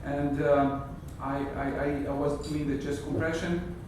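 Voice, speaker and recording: A man's voice: a little high, flat delivery, unhurried. A man in his thirties from Syria, a native Arabic speaker with a Syrian Arabic accent, giving a hesitant graduation speech at a podium in a wood-paneled hall, picked up by a far camera. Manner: hesitant, searching for words, many restarts. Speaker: graduating surgical resident. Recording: echoey hall from far, noisy.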